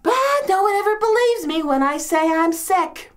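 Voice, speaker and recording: A woman's voice: medium pitch, big pitch swings, takes it slowly. A woman playing a complaining, martyred old mother-in-law from biblical times in a comedic monologue, with a New York accent and theatrical delivery. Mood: fussy, complaining. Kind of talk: comedic dramatic monologue, performed.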